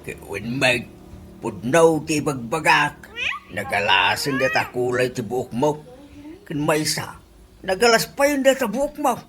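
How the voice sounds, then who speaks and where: A woman's voice: sits low, big pitch swings, unhurried, a crowd in the background. A woman playing a good-natured, teasing father of fifty in an Ilocano-accented radio comedy, given to exclamations. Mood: teasing, approving. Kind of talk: comedy radio drama acting.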